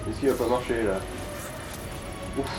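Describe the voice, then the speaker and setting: A man's voice: mid-range voice, moderate pace. A man chatting casually as a companion during a video game session. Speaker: gaming companion. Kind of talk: casual gaming chat. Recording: roomy echo, faint music behind.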